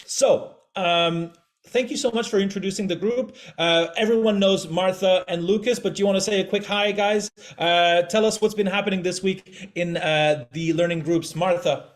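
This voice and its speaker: A man's voice: high voice, steady tone, normal speed. A man hosting an online group call, upbeat and encouraging in a chatty way. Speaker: host.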